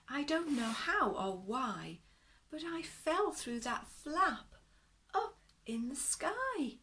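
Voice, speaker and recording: Woman voicing a lonely storybook beast, slow and plaintive. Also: high-pitched, sing-song, pushed and bright, distant mic, voice pushed up, full character voice. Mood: sad, lonely. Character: lost, lonely, grateful male beast, a giants' cat.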